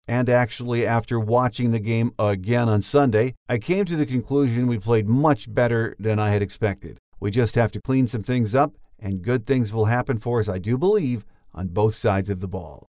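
A man's voice: mid-range voice, normal speed, few pauses, warm tone, no echo, clean studio sound. An older man with an American accent hosting a sports podcast, moving between scripted monologue and interview. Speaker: podcast host.

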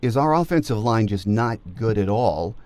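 Male podcast host for conversational football analysis, lower-pitched voice, close dry sound. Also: animated, normal speed, darkish tone, dry recording.

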